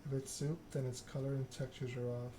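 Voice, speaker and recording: A man reads narrative game text aloud as ASMR. His delivery is flat and deliberate, broken into short phrases. Clear background noise and clicking sit behind the voice. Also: mid-range voice. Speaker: video game streamer reading a story game aloud.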